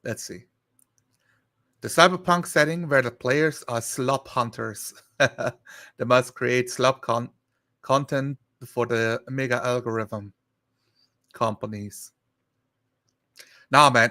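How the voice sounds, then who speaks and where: A man chatting casually on a live stream, rambling and thinking aloud in a halting way. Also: mid-range voice, sharp tone.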